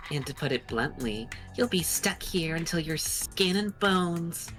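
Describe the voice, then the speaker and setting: A woman's voice: on the low side, animated, unhurried, a bit bright, soft background music. A woman voicing a glib, mocking kidnapper who toys with a captive in a game. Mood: mocking, sinister. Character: mocking, nonchalant kidnapper toying with a captive pupil. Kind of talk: voiced game character lines.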